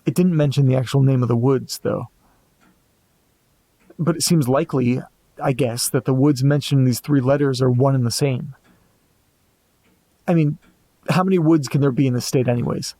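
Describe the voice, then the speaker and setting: Guy acting as anxious amateur sleuth in a horror audio drama, creaky low-key voice, super dry close sound, mutters to himself. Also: moderate pace, pauses often, mellow, dry recording, lightly acted. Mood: puzzled, musing. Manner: hesitant, muttering, talking to himself. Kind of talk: audio drama monologue, reading and musing aloud.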